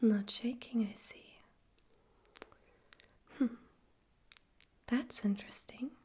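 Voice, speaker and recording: Smug radio demon overlord played by a woman, ASMR roleplay. Mellow breathy whisper, higher-pitched, teasing and condescending. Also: fairly even intonation, full character voice. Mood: taunting, curious. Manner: slow, teasing, theatrical, condescending. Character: smug male radio demon overlord, politely menacing and amused.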